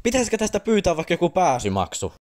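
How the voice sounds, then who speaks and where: A man's voice: sits high, huge pitch swings, a little mellow. A man voicing a bewildered, exasperated cartoon forest creature in a comedic amateur fandub, with a stammering, slangy delivery. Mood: bewildered, sarcastic.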